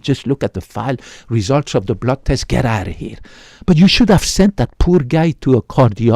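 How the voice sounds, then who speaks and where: A man's voice: medium pitch, warm tone, dry recording, clean studio sound. A middle-aged man, a physician, lecturing viewers to camera in an emphatic way, often tagging lines with "right?". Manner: emphatic, keeps asking "right?"; repeats words and restarts. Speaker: physician giving health advice.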